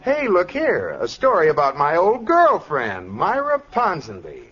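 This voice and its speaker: An actor playing an amiable, put-upon husband with a dry manner in a 1940s radio comedy. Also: male voice, fairly high pitch, huge pitch swings, a little slow, a bit bright, heavily acted. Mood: defensive, cheerful. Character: amiable, exasperated husband, dryly patient. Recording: dull old radio recording with studio audience.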